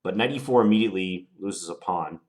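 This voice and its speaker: A man with a stuffed-up voice from a cold, thinking aloud through his chess moves in a deliberate, musing way. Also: deep voice, a little mellow. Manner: deliberate, musing, unhurried analysis. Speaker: chess player commentating his own game. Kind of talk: thinking aloud while playing.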